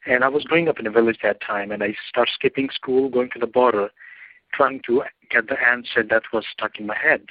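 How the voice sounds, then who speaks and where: A man's voice: medium pitch, a little fast, no echo, narrow, tinny audio. A man from Pakistan with a Pakistani accent, telling his story as a journalist guest in an interview in long, winding sentences. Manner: non-native phrasing, long winding sentences. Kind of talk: interview answer, personal story.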